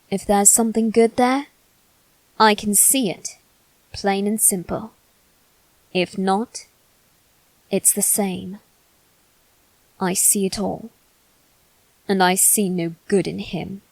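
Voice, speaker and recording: A woman performs a haughty young nobleman, still a boy, bitter and guarded. He speaks very slowly in formal, condescending phrases. The sound is dry and intimate, made for an ASMR character roleplay. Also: medium pitch, steady tone, vocal fry, no room sound, rough recording. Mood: bitter, cold.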